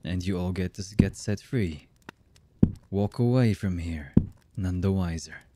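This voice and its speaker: An actor playing a cold, heartless villain boss in an ASMR roleplay, with a husky voice and a menacing, mock-polite manner. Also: male voice, sits low, a little slow, very noisy. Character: cold, heartless male villain boss, calmly threatening captives.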